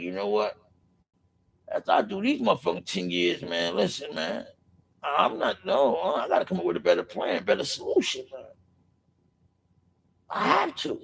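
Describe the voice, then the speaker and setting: Middle-aged man, raspy and gravelly, a bit high-pitched, emphatic, profane street talk. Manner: rambling with restarts. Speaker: podcast speaker. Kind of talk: impassioned street-life monologue.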